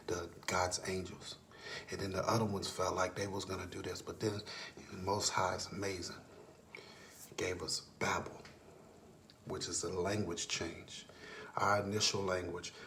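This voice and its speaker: A man giving an earnest late-night religious monologue, often sinking into a hushed whisper, sleepless. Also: sits low, a little hiss or hum. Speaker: vlogger.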